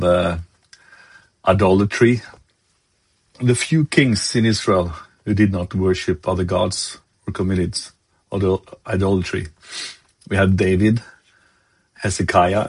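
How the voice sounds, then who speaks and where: A low-voiced man with a Norwegian accent delivers a devotional talk in English, his second language. His voice is husky and slightly breathy, and he speaks slowly, stopping often to hunt for the right word. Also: fairly even intonation. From Norway. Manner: halting, searching for words, many fillers. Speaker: lay preacher. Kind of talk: devotional sermon.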